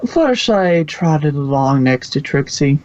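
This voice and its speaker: A man's voice: on the high side, big pitch swings, unhurried, darkish tone. Male story narrator, dull recording. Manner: informal, stumbles and self-corrects. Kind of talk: scripted fan fiction narration.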